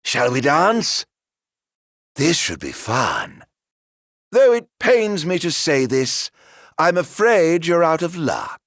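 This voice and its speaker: A sardonic, genteel game villain in middle age. His slow, drawn-out phrases swoop dramatically from low to high with a gravelly edge. He is flamboyant and mockingly polite throughout. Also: big pitch swings, a bit bright, no room sound, clean studio sound, exaggerated voice. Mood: mocking, playful. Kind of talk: video game battle voice lines.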